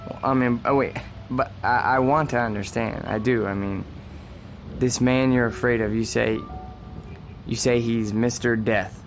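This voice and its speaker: An actor playing a gentle, puzzled young man in a radio drama, speaking earnestly with a hesitant stammer. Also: mid-range voice, fairly even intonation, measured pace, soft background music, natural voice. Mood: earnest, probing. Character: gentle, puzzled man coaxing a frightened woman.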